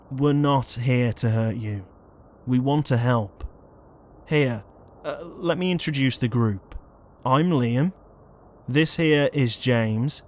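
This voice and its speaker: Casting: man as sardonic, put-upon young ghost protector, slow delivery, audio roleplay. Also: medium pitch, natural voice. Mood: reassuring, explaining. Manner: weary, sarcastic, sighing. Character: exasperated young ghost leader, reluctant protector, named Liam.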